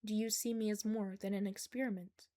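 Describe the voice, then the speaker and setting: A woman plays a whiskered, highly intelligent creature held captive in a story. It speaks in measured, precise sentences. Its manner is analytical and unemotional, made for an audiobook. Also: steady tone, dark tone, natural voice. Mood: coolly curious. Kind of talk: audiobook character dialogue.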